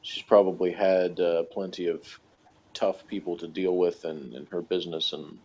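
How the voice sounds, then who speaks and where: Deep voiced guy rambling thru a casual review, lots of stop and start, kinda muffled audio. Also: steady tone, mellow, breathy edge. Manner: halting, thinks aloud, chuckles as talking. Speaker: podcast co-host reviewing a TV series. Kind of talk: casual chat, rambling analysis. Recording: dull, lo-fi audio.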